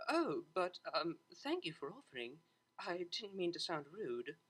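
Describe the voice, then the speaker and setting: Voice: medium pitch, sing-song, measured pace, a bit bright, husky, full character voice. A narrator voicing a refined young mare cellist in a story, prim and proper, polite and a little hesitant.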